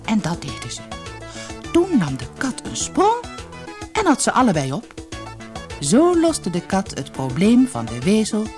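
A woman's voice: mid-range voice, wildly swooping pitch, measured pace, pauses often, a little mellow, faint music behind. A woman narrating a scripted fairy tale audiobook as a storyteller.

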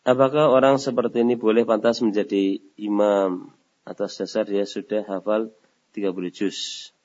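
A man's voice: fairly low pitch, fairly even intonation, normal speed, a little mellow. A man teaching a religious study session, answering a question and posing rhetorical questions for his listeners to complete. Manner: asks rhetorical questions and lets the audience finish them. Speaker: Islamic teacher. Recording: dull lo-fi lecture recording.

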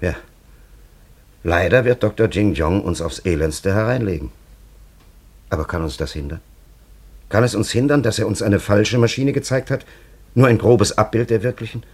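A man's voice: on the low side, normal speed, long pauses, darkish tone, slightly rough. An actor playing an ancient, cunning and patronising time traveller in a radio play, telling his story in a solemn, elaborate, theatrical way. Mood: solemn, sly.

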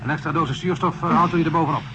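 An actor playing a forceful spaceship commander in a radio drama, urgently ordering and steadying a frightened crew. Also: male voice, on the high side, steady tone, very fast. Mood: commanding. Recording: very noisy radio drama cabin scene.

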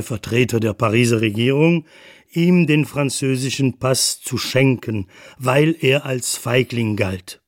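Male narrator, mid-pitched and matter-of-fact. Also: no room sound, studio quality. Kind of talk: scripted documentary radio narration.

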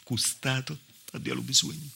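A man's voice: medium pitch, huge pitch swings, fairly quick, vocal fry, pushed and bright, slight background noise, full character voice. A male stage actor playing a weary, mistreated southern Italian village wife, telling the story in a colloquial, confiding monologue with a Calabrian accent. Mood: weary, resigned. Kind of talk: theatrical monologue in dialect.

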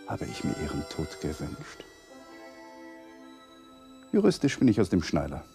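A troubled lawyer in a radio drama. His pitch swings hugely between courteous talk and murmured, halting brooding. Music sits behind the voice. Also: huge pitch swings, full character voice. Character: troubled married lawyer, polite, brooding and restless. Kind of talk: 1980s German radio play acting.

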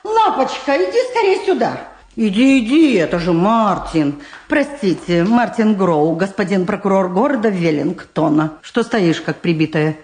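An actress playing a pushy, theatrical madam in a radio drama, wheedling, sarcastic and given to exclamations. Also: female voice, mid-range voice, expressive, normal speed, darkish tone, roomy sound, close to an everyday voice. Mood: mocking, coaxing. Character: pushy, theatrical madam running a touring bar act. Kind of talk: Soviet radio drama acting.

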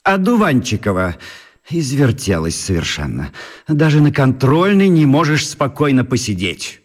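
A man's voice: mid-range voice, sing-song, normal speed, pitched above the performer's own voice. A man voicing a stern, middle-aged male schoolteacher who scolds a pupil, in a children's radio play. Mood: scolding.

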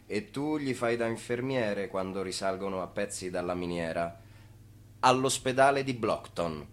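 An actor playing the narrator who sets the scenes in a radio play. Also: male voice, on the low side, moderate pace, natural voice. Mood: evocative. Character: male narrator setting scenes in a stage tale.

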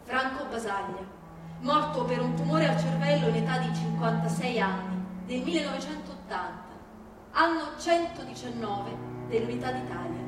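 A woman's voice: fairly high pitch, animated, moderate pace. A woman giving a theatrical scripted narration as a stage reading set to music. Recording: echoey hall from far off, music behind.

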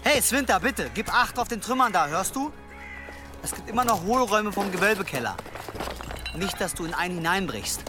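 A man's voice: high voice, expressive, moderate pace, over music. A young man playing an earnest, careful, bookish monk in a German radio drama. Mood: concerned. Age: young adult. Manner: earnest, cautious.

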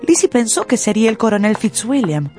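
A woman's voice: a little high, sing-song, fairly quick, darkish tone, soft background music. A woman narrator reading scripted storytelling for a radio drama.

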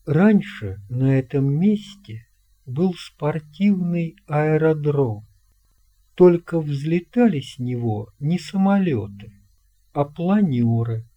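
A narrator reading a children's tale in a measured, expressive storytelling manner. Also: mid-range voice. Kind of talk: scripted audiobook narration of a children's fairy tale.

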